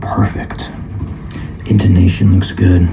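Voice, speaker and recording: Male, very low voice, calm ASMR shop appraiser, flat and unhurried, half-whispered at times, clear background noise. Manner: calm, measured, partly whispering. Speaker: guitar shop appraiser in a roleplay. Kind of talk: ASMR roleplay, soft-spoken.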